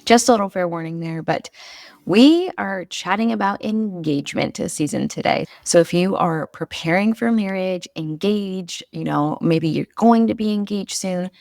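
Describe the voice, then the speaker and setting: Soft-spoken young woman with a gravelly voice. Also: fairly low pitch, normal speed, dry recording, clean studio sound. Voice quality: slightly raspy. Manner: earnest, chatty, encouraging. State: strained voice, talking softly. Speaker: podcast host. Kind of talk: casual faith podcast talk.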